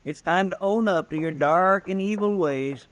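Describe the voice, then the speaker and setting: An actor playing a chatty, deferential frontier deputy with an in a 1950s radio western. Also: male voice, sits high, moderate pace, close to an everyday voice. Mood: folksy, wry. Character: folksy, talkative, loyal frontier deputy.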